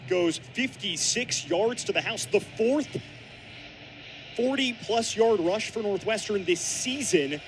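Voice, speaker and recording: A man's voice: high-pitched, slow pace, faint music behind, rough recording. A young man giving explanatory, analytical color commentary on a live football radio broadcast. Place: outdoor football stadium broadcast. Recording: stadium broadcast with loud ambient noise.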